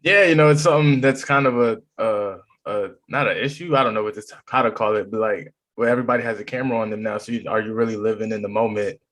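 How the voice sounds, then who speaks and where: A man with a fairly low voice hosts an interview podcast. His questions are friendly but loose, and he often rephrases and corrects himself as he goes. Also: fast talker, hardly any pauses, fairly bright tone. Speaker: podcast host.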